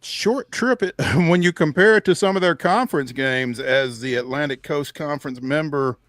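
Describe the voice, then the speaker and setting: A man's voice: a little high, normal speed, a bit bright, dry recording. Man co-hosting sports talk, dry close sound. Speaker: sports show co-host. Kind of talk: casual sports talk with game picks.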